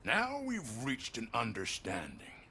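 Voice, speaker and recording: Casting: older game-villain executive, courtly yet threatening, very animated pitch, forceful edge. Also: male voice, gravelly, breathy edge, exaggerated voice. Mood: politely menacing. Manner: grandiose, measured, politely condescending. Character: old, smooth, calculating corporate executive, veiled threat beneath courtesy. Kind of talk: dramatic video game cutscene acting.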